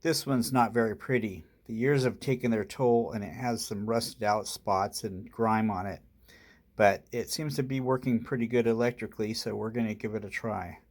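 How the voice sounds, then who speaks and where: A man's voice: fairly low pitch, moderate pace, darkish tone. A man narrating a casual hands-on electronics demonstration as its host.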